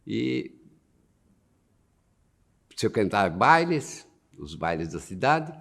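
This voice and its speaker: A man in his nineties, a war veteran, recalling his youth slowly with pauses and fillers. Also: lowish voice, big pitch swings, gravelly, fairly bright tone. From Brazil. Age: 90s. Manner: slow, halting, with many pauses and fillers.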